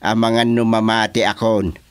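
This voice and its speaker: A man's voice: lowish voice, flat delivery, moderate pace, clean tone, no room sound, close to an everyday voice. A man with an Ilocano accent playing a stubborn, scoffing old village husband in a comedy radio drama.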